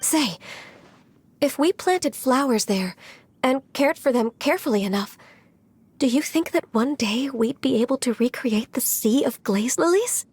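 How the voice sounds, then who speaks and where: Earnest, polite young female game heroine, hesitant and high-pitched. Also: animated, unhurried, a little mellow, husky, full character voice. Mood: dreamy. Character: gentle, earnest, timid young female half-adeptus assistant. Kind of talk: video game voice acting.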